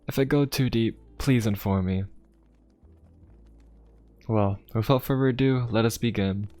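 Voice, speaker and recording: A man playing a courteous, inquisitive young pope of a steampunk fantasy world in an ASMR roleplay, speaking politely, formally and in a gently musing way. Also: fairly low pitch, measured pace, no room sound, natural voice. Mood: reassuring, curious. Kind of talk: ASMR roleplay addressed to the listener. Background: music.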